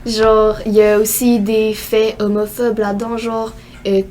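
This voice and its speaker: Teen woman, Québécois accent, high-pitched, rambling casual podcast co-host. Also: level tone, unhurried, a little mellow. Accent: Québécois French. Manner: rambling, many fillers like "genre" and "euh".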